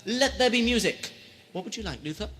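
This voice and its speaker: A high-voiced, flamboyant mad tyrant. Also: male voice, expressive, a little fast. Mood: grandly playful. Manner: theatrical, grandiose, swooping from cooing to shouting. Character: flamboyant, menacing madman lord holding court. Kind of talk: amateur audio drama acting.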